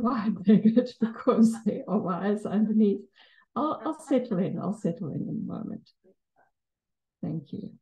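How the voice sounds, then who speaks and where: A woman's voice: fairly low pitch, dark tone, highs cut off. A middle-aged woman from South Africa whose first language is Afrikaans, speaking with an Afrikaans South African accent as she gives a reflective, lightly humorous personal tribute at a memorial in a Buddhist center. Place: Buddhist center shrine room, indoors. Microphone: handheld mic, far camera.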